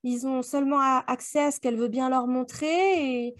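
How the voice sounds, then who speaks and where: A woman's voice: high voice, steady tone, normal speed, dry recording, studio quality. A woman co-hosting a book club podcast, chatting casually and reflectively, often posing rhetorical questions. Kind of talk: casual book discussion chat.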